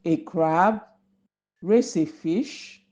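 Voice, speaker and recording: A woman's voice: on the low side, very slow, warm tone. A woman voicing a boastful, mocking male fish in a children's storybook, on a slightly dull recording. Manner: mocking, incredulous. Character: boastful, speedy, scoffing male river fish. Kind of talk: storybook character voice.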